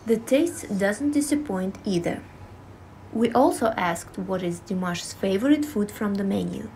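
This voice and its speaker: A woman's voice: mid-range voice, animated, a little slow, vocal fry, dark tone, a little hiss or hum, poor audio. Woman narrating a scripted news feature.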